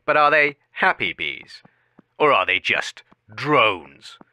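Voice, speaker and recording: A man's voice: medium pitch, sing-song, slow, pushed and bright, no room sound, heavily acted. An actor, a man, playing a self-important, deluded investigative crusader, declaiming in a radio comedy. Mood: solemn. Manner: grandiose, declamatory. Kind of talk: melodramatic radio report parody.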